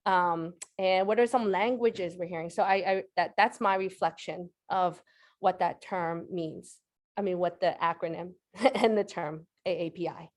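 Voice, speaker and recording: Reflective woman educator, lowish voice. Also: fairly even intonation, a little slow. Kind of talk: panel discussion.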